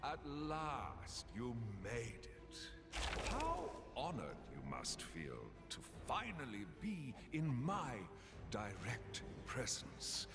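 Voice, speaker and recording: A man's voice: medium pitch, wildly swooping pitch, slow, full character voice. A man playing an arrogant, grandiose conquering supervillain in a video game, savoring his words with mocking pomposity. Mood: mocking confidence. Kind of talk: video game cutscene acting. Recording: loud music behind the voice.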